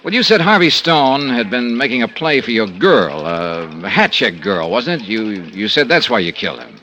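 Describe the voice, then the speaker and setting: An American actor playing a dogged, wry insurance investigator with a conscience in a 1950s radio detective drama. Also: male voice, mid-range voice, very animated, moderate pace, bright, forceful tone. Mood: pressing. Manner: wry, insistent, hard-boiled delivery. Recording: noisy old radio drama recording.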